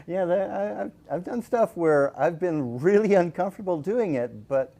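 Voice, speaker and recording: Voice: mid-range voice, lively intonation, normal speed, warm tone. A radio show host talking casually with a guest, agreeable and chatty, laughing often.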